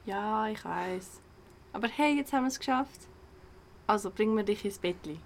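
A woman's voice: mid-range voice, moderate pace, creaky, slight background noise, close to an everyday voice. An actress with a Swiss German accent playing a caring young woman in a radio drama. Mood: coaxing. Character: caring young woman looking after her drunk best friend.

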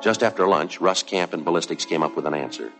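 1950s police sergeant: low voice, clipped deadpan case narration, music on an old TV soundtrack. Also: male voice, quick pace. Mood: matter-of-fact, grave. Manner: deadpan, matter-of-fact. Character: terse, businesslike male police sergeant narrating his case. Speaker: lead detective and narrator.

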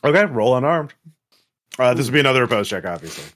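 A man running a tabletop horror game as game master, narrating casually and haltingly with sudden exclamations. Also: mid-range voice, very animated, noisy background.

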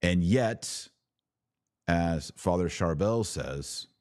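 A man's voice: sits low, expressive, extremely slow, darkish tone, no echo, clean studio sound. A middle-aged American man hosting a religious podcast, speaking conversationally in an emphatic, folksy, preachy way. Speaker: podcast host. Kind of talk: conversational religious commentary with exhortation.

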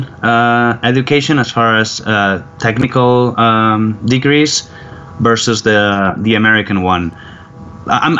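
Young guy from around barcelona, slow careful answers, muffled line, slight hiss. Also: fairly low pitch, fairly bright tone. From Spain, near Barcelona. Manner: careful, many "uh" pauses. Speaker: plant manager, guest. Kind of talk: interview answers. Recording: dull lo-fi line with faint noise.

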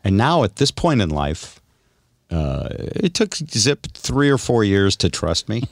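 A man with a very low voice talks like a seasoned radio host in loose conversation. His intonation swings freely as he tells stories and prods with teasing questions. The sound is dry and close. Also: big pitch swings, a little slow, dry recording. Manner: teasing, probing. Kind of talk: casual chat and storytelling.

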